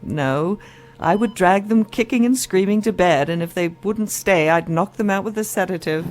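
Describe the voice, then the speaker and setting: An exhausted, compassionate doctor played by a woman in an audio drama. She speaks at an average pitch, earnest and dutiful, over quiet background music. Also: normal speed, lightly acted, low-quality recording. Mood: tired, resigned. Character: weary, dedicated, compassionate female doctor.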